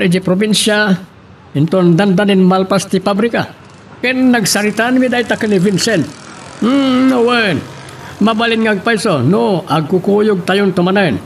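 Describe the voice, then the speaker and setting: An actor playing a bossy, scheming company boss in an Ilocano radio drama, with a gravelly voice and an Ilocano accent. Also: male voice, sits high, a little hiss or hum.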